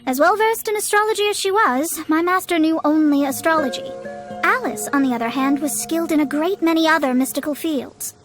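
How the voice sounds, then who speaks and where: Woman playing proud young female scholar, very high voice, theatrical formal wording, exasperated temper, video game, faint music. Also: a little fast, close to an everyday voice. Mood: formal, insistent. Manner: formal, haughty, theatrical phrasing. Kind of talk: scripted video game voice acting. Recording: studio voice over game music.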